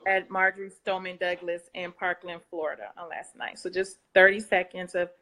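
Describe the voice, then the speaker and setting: A woman's voice: lowish voice, little pitch movement, brisk, a bit bright. A woman hosting a talk show, chatting casually and repeating words for emphasis. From Southern United States. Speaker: host.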